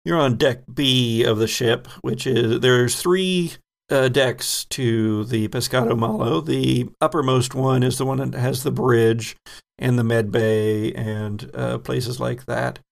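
Gravelly-voiced man running a game. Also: medium pitch, steady tone, slow. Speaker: game master. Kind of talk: narrating a tabletop game.

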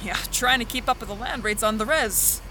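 A woman's voice: a little high, brisk, sharp tone, soft background music, rough recording. A woman playing a practical, guarded farmer in an audio drama, speaking in terse, plain, naturalistic lines. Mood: guarded, reluctant. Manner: terse, plain-spoken, hedging politely. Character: practical, guarded woman farmer renting land, busy seeding.

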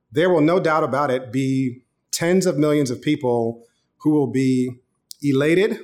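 A man in his thirties preaches an online sermon like he is chatting with friends, moving easily into personal stories. His voice sits at a middle pitch, neither deep nor high. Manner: casual, conversational preaching with storytelling. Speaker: pastor.

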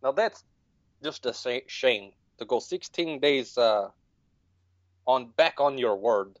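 Man putting on droll ancient ghoul, lively pitch. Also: slow, no echo, muffled recording. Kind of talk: comic podcast banter in character.

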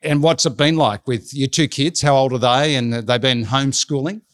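A man hosting an interview, reading a polished introduction and then asking his guest questions in a measured way. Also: mid-range voice, fairly bright tone. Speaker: interview host.

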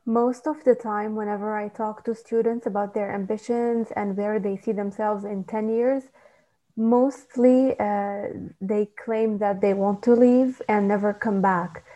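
A woman's voice: medium pitch, fairly even intonation, dark tone. Earnest Lebanese woman on a dull call line. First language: Arabic. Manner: earnest, measured, with hesitations. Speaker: student ministry coordinator. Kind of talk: interview answers.

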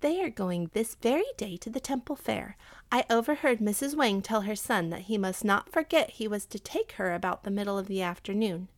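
A woman voicing a crafty, eager village wife in a storybook, with a brisk, scheming, emphatic delivery. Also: mid-range voice, no echo, natural voice. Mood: gleeful.

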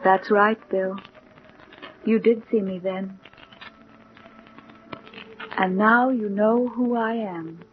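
Actress doing calm alluring death lady, gentle but insistent, old radio play, muffled with some hiss. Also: medium pitch, lightly acted. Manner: soothing, unhurried, gently insistent. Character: calm, alluring young woman who is Death. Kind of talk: 1940s radio drama acting. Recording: dull, noisy 1940s radio recording.

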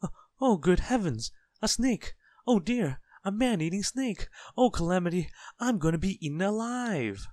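A tiny, hysterically timid little fellow from a children's storybook. His voice shoots up very high and swings about in fright. He stammers and wails in melodramatic exclamations, recorded dry and close. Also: animated, normal speed, few pauses, darkish tone, no room sound. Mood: panicked. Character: tiny timid male panicker, hysterically fearful, easily terrified.

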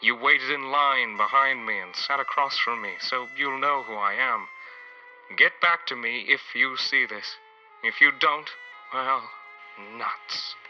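A man playing an earnest love detective in a 1940s-style radio serial monologue, measured and deadpan. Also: medium pitch. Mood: wistful. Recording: dull lo-fi radio sound, music behind.

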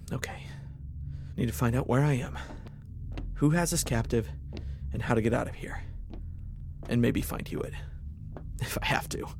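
Stranded starship officer, male, played by an actor: guarded, practical, dry delivery, medium pitch, sci-fi audio drama. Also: a little slow, pauses often, noisy background, natural voice. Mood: weary, resolved. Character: wary, pragmatic male military officer stranded aboard a hostile spaceship. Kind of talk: science fiction audio drama acting.

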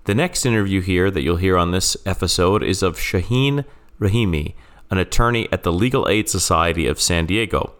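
A man hosting a podcast, introducing a guest and asking questions in a measured, halting way with many fillers. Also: sits low, a bit bright. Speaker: podcast host and law professor.

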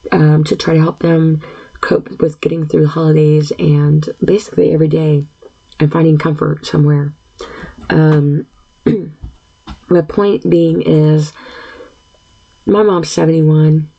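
A woman in her fifties with a gravelly, husky, mellow voice that stays flat in pitch. She talks in an earnest, halting way, clearing her throat often. The recording is dull and lo-fi. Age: 50s. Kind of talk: personal monologue.

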